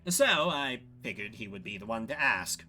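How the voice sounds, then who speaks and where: A man voicing a shifty, fast-talking game scamp with cocky, evasive, wheedling patter. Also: medium pitch, big pitch swings, pushed and bright, faint music behind. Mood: uneasy confiding. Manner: chummy, wheedling, salesman-like patter. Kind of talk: video game character voice.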